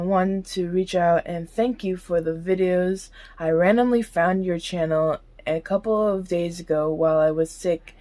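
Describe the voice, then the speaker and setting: A young woman YouTuber chatting casually to her viewers, giggly and talkative, answering back to what she hears. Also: lowish voice. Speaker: YouTuber reacting to a podcast. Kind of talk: casual chat to camera.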